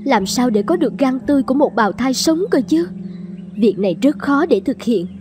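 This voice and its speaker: Woman voicing an anxious insistent rich wife, high-pitched. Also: animated, brisk, music in the background. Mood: fretful. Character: anxious, hard-pressing wealthy wife and mother. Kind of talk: cartoon fairy-tale dubbing.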